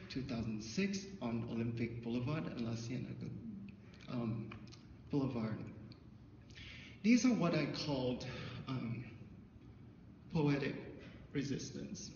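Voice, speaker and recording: A middle-aged man whose first language is Thai gives a symposium talk through a handheld mic, recorded from far back in a large hall. The sound is roomy with a long reverb tail. The audio is dull and muffled, with a faint hiss underneath, and he speaks haltingly, restarting often. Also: mid-range voice, darkish tone. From Thailand. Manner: halting, many fillers and restarts. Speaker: artist presenter. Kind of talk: symposium talk, personal storytelling. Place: dim hall with projector screen. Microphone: handheld microphone, filmed from afar.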